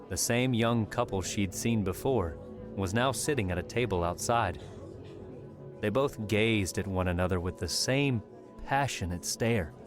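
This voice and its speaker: A man reading scripted story narration as an audiobook narrator. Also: on the low side.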